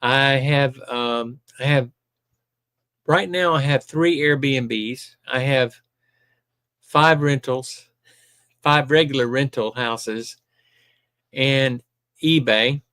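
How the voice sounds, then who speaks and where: A man's voice: medium pitch, very slow, pauses often, fairly bright tone, smooth, dry recording. Chatty older Southern man, dry sound. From Alabama. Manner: chatty, reads viewer comments aloud with many ums. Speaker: live-stream host. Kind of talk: casual live-stream chat.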